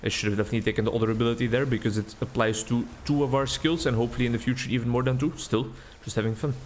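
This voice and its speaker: A young man with a Belgian accent giving relaxed, rambling commentary while playing a game, recorded at home on a desk mic. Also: medium pitch, rapid-fire, slight background noise. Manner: rambling, relaxed, thinking aloud. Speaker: gaming video creator. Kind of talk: casual gameplay commentary. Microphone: desk mic with webcam.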